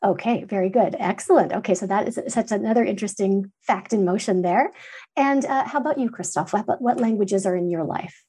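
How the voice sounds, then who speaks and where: Husky, mellow female interviewer. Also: mid-range voice, a little fast. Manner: encouraging, asks long questions. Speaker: interview host. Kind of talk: academic podcast interview.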